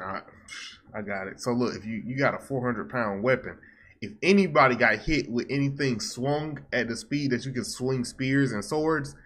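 Bright-voiced young man, slangy reaction commentary. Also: medium pitch. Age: young adult. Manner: animated, slangy, teasing. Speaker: reaction video host. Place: small home room. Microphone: close desk microphone.

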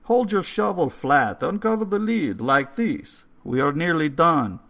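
A man voicing an old undertaker who speaks in a formal, slow and ominous way. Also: on the high side, expressive, a bit bright, voice pushed up. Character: old Hungarian male undertaker, courtly and eerie.

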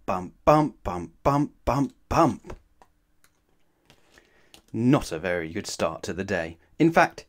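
Casting: male bedtime-story narrator, expressive and very lively in pitch, leaning on words for drama. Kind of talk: expressive children's read-aloud.

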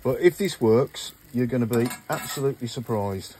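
An older man talks viewers through his cooking at an outdoor grill, chatty and homely, often pointing things out. His voice sits in the middle of the range. The outdoor recording carries clear background noise. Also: medium pitch, expressive, normal speed. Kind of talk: casual cooking vlog. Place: garden patio by a grill, outdoors.

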